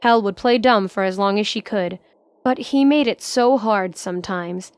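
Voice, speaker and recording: A woman's voice: mid-range voice, normal speed, smooth, dry recording. A woman reading scripted third-person narration for a fantasy audio drama.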